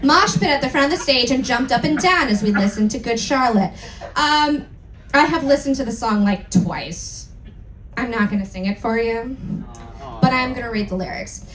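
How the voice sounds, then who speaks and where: High-voiced woman giving theatrical echoey reading. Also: normal speed, fairly bright tone. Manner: theatrical, amused. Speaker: performer reading fan fiction aloud. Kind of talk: comedic dramatic reading to a live audience. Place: indoor live event venue. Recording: echoey hall, distant mic, background noise.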